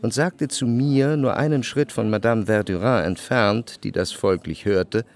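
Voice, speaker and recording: Radio drama narrator: observant society guest telling the story in a lowish voice. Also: male voice, a little slow. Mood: observant, composed. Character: observant first-person narrator, a salon guest. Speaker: first-person narrator.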